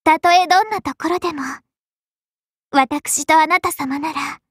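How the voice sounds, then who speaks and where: A woman's voice: sits high, unhurried, fairly bright tone, no room sound, studio quality. A woman voicing a lovestruck, clingy young maiden in an anime mobile game, very polite, coy and devoted. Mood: doting, insistent.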